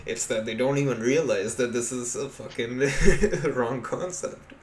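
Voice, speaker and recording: A man's voice: medium pitch, unhurried, a loud crowd. A man from Pakistan co-hosting a casual podcast, explaining things in a blunt way with plenty of swearing. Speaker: podcast co-host. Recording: echoey room with background noise.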